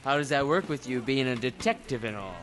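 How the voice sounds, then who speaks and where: An actor playing a shady war veteran turned reluctant killer, slangy and evasive, in old time radio style. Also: male voice, mid-range voice, animated, brisk, a bit bright, natural voice. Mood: breezy.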